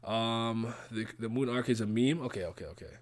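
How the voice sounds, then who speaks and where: A man's voice: medium pitch, normal speed. Blunt, opinionated male streamer. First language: French. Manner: blunt, opinionated, heated rants with repeated phrases. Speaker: YouTuber streaming to chat. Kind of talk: casual livestream, reading and answering chat comments.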